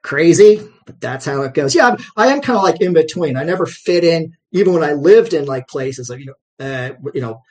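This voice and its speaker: A man's voice: fairly high pitch, animated, a little fast, few pauses, a little mellow. A man talking in a rambling, conversational way as a guest in an interview. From United States. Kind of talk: casual interview talk.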